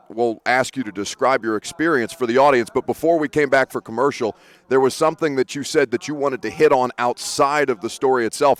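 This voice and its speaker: A male sports radio host interviewing guests at a live broadcast, talking eagerly with many restarts and self-corrections. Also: mid-range voice. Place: studio booth at a sports event.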